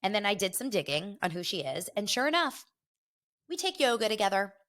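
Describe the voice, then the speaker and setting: A woman's voice: medium pitch, big pitch swings, fairly bright tone. A middle-aged woman hosting her podcast in a casual, gossipy chat, a little congested from a head cold. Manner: chatty and gossipy, quick asides. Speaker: podcast host.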